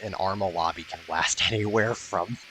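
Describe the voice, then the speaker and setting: A man's voice: low voice, normal speed, bright, forceful tone. A man giving casual commentary as he plays a video game, grumbling sardonically and swearing freely. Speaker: gaming video host. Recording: noticeable background noise.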